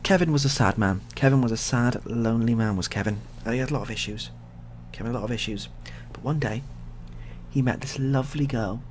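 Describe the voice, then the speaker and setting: A man telling improvised stories in a soft-spoken ASMR style, with a rambling, repetitive, deadpan delivery. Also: mid-range voice, brisk. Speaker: ASMR storyteller. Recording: clearly audible background noise.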